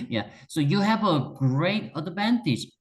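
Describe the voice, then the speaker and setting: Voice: medium pitch, lively intonation, slow. A native Korean speaker with a Korean accent, teaching a beginner one-on-one in a patient, encouraging way and repeating words for emphasis. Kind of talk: one-on-one language lesson.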